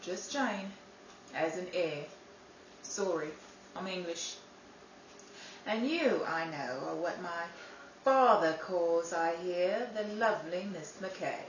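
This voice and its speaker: A woman's voice: on the low side, animated, slow, pauses often, slight background noise. Woman playing a wry young Englishwoman. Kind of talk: 1930s-style radio drama acting. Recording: roomy room, distant mic.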